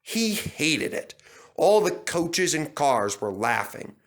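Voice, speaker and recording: A man's voice: on the high side, big pitch swings, takes it slowly. A man reading a children's storybook aloud as narrator.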